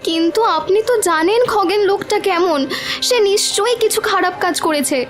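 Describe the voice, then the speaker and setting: A desperate village wife in a cartoon played by a woman pleads in an extremely high voice. She is deferential yet insistent, over a faint bed of music. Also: fairly quick. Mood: pleading. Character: desperate, pleading village wife defending her jailed husband. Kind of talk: cartoon voice acting.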